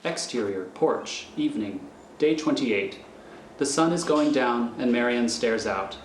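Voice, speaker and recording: A man narrating scripted stage directions for a radio thriller in a measured, matter-of-fact manner. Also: mid-range voice, animated. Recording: echoey room, faint noise.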